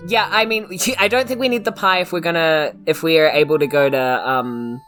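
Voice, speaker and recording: A woman's voice: deep voice, fairly quick, fairly bright tone, soft background music. A woman with a New Zealand accent chatting and joking casually as a tabletop game player. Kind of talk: casual chat, joking banter.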